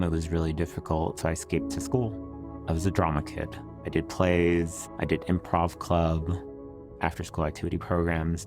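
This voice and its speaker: A man from El Salvador telling his personal story in a measured, reflective way. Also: deep voice. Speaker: research interviewee. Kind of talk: personal testimony. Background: music.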